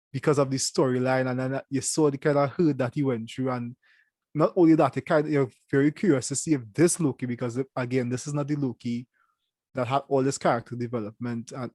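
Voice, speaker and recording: Trinidadian man, halting casual talk. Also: mid-range voice, a little mellow, no room sound. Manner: halting, many restarts and fillers. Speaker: co-host. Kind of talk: casual review chat.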